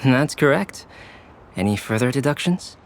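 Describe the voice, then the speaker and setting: An actor playing a composed, courteous, knowledgeable man in a video game, speaking in a measured, articulate way. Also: medium pitch, animated, a little hiss or hum, close to an everyday voice. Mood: calm, pleased.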